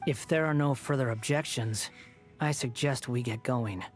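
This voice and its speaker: A man's voice: medium pitch, normal speed, natural voice. An actor playing a snarky, aloof young male wanderer in a game, speaking with cool, condescending, backhanded politeness. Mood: smug. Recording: game audio under music.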